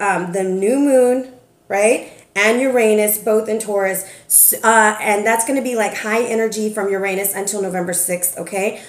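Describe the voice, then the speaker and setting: A woman giving a casual, sassy tarot forecast, chatty and playful, a little hoarse from recovering from bronchitis. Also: a little high. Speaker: tarot reader. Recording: echoey room.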